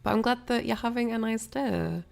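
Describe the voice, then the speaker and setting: A woman's voice: a little high, normal speed, gravelly, a little mellow. A woman hosting a casual livestream, rambling and apologetic, sniffly with a cold as she chats to viewers. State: ill with a cold, sniffly, coughing. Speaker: livestream host.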